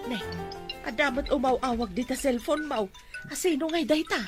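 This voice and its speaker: A woman's voice: high voice, moderate pace, over music, close to an everyday voice. A woman with an Ilocano Filipino accent playing a worried older mother in an audio drama, fretting plaintively. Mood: puzzled, anxious. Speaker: drama voice actor.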